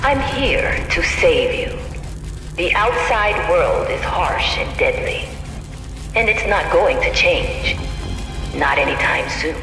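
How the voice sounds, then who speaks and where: An actress playing a cold, menacing female tyrant scientist giving a deliberate, oratorical speech in a video game over a public address. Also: deep voice, expressive, gravelly, fairly bright tone, full character voice. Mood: coldly grandiose. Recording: echoey hall public address, faint music.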